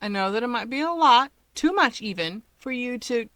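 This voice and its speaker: A woman's voice: on the high side, expressive, moderate pace, sharp tone, no room sound. Woman playing a poised, caring big sister. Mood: gently reassuring.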